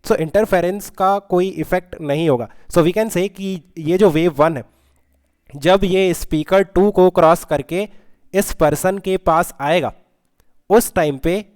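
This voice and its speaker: A man's voice: high voice, measured pace, a little mellow, no echo. A man with an Indian accent explaining step by step as a physics teacher, switching between two languages and checking in with short tag questions. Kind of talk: explanatory lesson, code-switching between Hindi and English.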